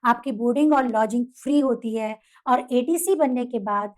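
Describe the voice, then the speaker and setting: A woman's voice: on the high side, moderate pace. A woman with an Indian accent narrating a scripted career guidance explainer, setting out points one by one in an instructional way. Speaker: presenter of career guidance videos.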